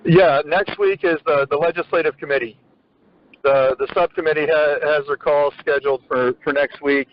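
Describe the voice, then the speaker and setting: A man hosting a conference call, speaking haltingly with repeated words and many fillers. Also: on the high side, steady tone. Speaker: host of an agricultural education conference call. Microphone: phone on a conference call. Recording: muffled conference-call audio.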